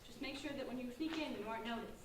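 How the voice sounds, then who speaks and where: Sharp, bossy teen girl ringleader, woman at a staged reading, high-pitched, far from mic. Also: rapid-fire, natural voice. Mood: commanding. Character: bossy, sharp-minded teenage girl leading young schemers. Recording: very echoey room, distant mic, faint noise.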